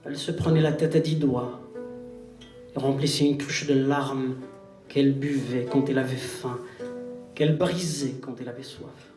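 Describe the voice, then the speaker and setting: A man recites poetry in a solemn, declamatory style, with measured phrasing and pauses. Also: medium pitch, steady tone. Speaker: poet reciting. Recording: roomy, distant live recital recording. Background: plucked guitar music.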